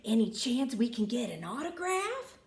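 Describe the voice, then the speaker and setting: A woman's voice: a little high, big pitch swings, normal speed, roomy sound. A woman voicing a swaggering male coyote pack leader from a Western storybook, with a drawling cowboy delivery. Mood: impressed. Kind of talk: folksy Western storybook character.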